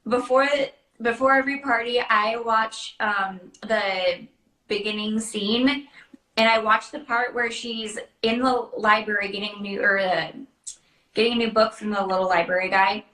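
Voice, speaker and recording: A woman's voice: mid-range voice, steady tone, unhurried, bright, forceful tone. A young adult woman chatting casually as a host and answering questions. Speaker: host, princess party performer. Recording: roomy, echoing sound.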